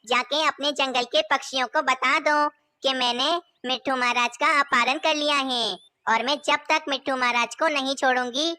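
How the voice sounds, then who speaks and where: A woman's voice: high voice, fairly even intonation, moderate pace, bright, forceful tone. A woman playing an old female kite in a cartoon, a theatrical villain and doting mother bird. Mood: commanding. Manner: threatening, theatrical.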